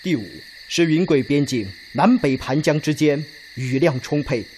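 A man playing a stubborn, earnest Red Army officer in a radio drama, arguing deliberately and insistently, point by point. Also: fairly high pitch, a little mellow, a little hiss or hum, lightly acted. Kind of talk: Chinese radio drama acting.

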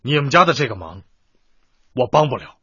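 An actor playing a stern but soft-hearted young home tutor in a radio drama, with a husky voice and an emphatic, schoolmasterly manner. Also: male voice, mid-range voice, sing-song, fairly quick, highs cut off. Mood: firm, businesslike.

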